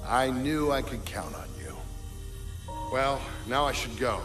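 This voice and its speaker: An actor playing a calculating ruler in a video game who poses as an earnest ally, measured, solemn and persuasive. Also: male voice, medium pitch, sing-song, over music. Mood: smooth, resolute. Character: calculating male villain ruler program posing as earnest ally. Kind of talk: video game cutscene acting.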